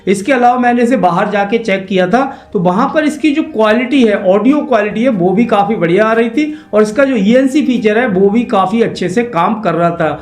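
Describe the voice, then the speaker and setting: A man's voice: sits high, expressive, brisk, hardly any pauses. A man explains a product to viewers step by step in an instructional review style. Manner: instructional, addresses viewers directly. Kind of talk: unboxing and review narration, explanatory.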